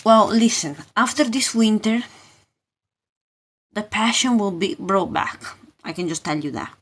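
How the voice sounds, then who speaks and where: Slow-paced woman giving a casual tarot reading. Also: mid-range voice, animated, fairly bright tone, slight background noise. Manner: informal, halting, questioning, repeats phrases. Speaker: tarot reader and spiritual coach hosting a live session. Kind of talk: casual live tarot reading, talking to viewers.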